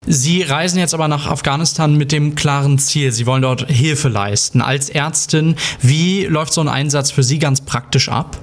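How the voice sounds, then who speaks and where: A man's voice: a little high, steady tone, normal speed, few pauses. A man asking questions in a conversational style as a radio interviewer.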